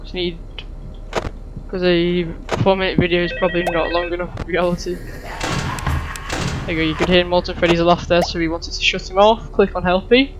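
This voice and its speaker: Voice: medium pitch, level tone, fairly quick, long pauses, background noise. A gamer giving casual, rambling live commentary on a video game, muttering asides along the way. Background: game music and sounds.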